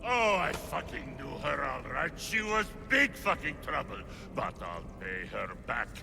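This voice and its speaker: An actor playing a brutal, vulgar crime lord in a video game, with a sneering, threatening delivery. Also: male voice, fairly high pitch, big pitch swings, unhurried, vocal fry, heavily acted. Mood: vengeful rage. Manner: sneering, foul-mouthed, threatening. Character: brutal, vulgar, menacing male crime lord of an ancient city. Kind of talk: video game cutscene acting. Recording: long reverb, loud music behind.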